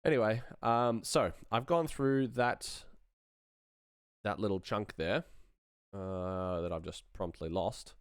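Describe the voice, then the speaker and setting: A man's voice: on the low side, slow, smooth, dry recording, studio quality. A man giving a casual sound design tutorial on a livestream, rambling and thinking aloud as he works.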